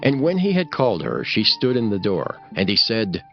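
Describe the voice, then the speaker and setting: A man's voice: fairly low pitch, wildly swooping pitch, normal speed, vocal fry, lo-fi sound, soft background music. A man narrating a scripture audiobook in a formal, solemn storytelling manner.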